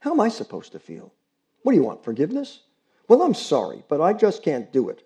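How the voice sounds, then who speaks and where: A man voicing a distraught woman neighbor who talks softly, tearfully, and breaks off mid-sentence. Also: a little high, wildly swooping pitch, brisk, pitched above the performer's own voice. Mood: bitter. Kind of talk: audiobook character reading.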